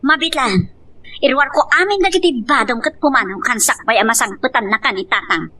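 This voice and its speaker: A very high, bright voice carries this betrayed young wife as acted by a woman. She swings widely in pitch, pleading and angry, in a heartfelt radio-style drama manner. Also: expressive, a little fast, heavily acted. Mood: bitter, firm. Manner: emotional, pleading, outbursts of crying and shouting.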